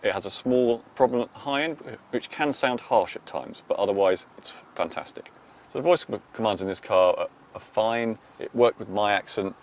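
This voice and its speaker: A man's voice: lowish voice, normal speed, a little hiss or hum. A man, a technology journalist giving an enthusiastic, informal review of a car's infotainment system. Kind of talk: product review to camera.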